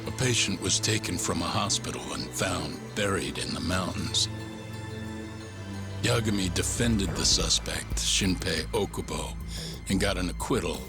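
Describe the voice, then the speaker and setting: A man delivering grave, measured narration for a video game crime drama. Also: low voice, music in the background. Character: grave male narrator recapping a crime story.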